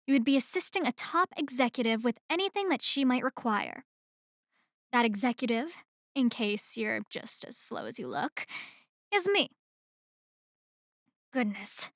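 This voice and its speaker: Slow, high-voiced haughty female boss played by an actress. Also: a bit bright, no echo, studio quality. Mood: cold disdain. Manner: condescending, deliberate, mocking. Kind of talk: ASMR roleplay acting. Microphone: close microphone in a dry room.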